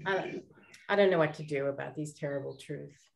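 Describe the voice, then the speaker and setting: A woman playing a brisk, excitable lady detective of the 1920s in a radio play. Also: sits low, creaky. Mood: shaken.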